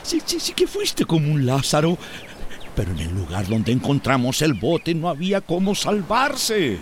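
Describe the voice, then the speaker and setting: An actor playing a warm, devout, overjoyed older uncle in a radio soap opera. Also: male voice, on the high side, wildly swooping pitch, moderate pace, slight background noise.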